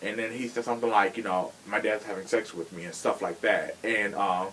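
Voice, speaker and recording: A man's voice: fairly low pitch, fairly even intonation, brisk, a bit bright, a little hiss or hum, poor audio. A man chatting casually on a true crime podcast in a sassy, blunt, slangy way. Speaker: podcast host.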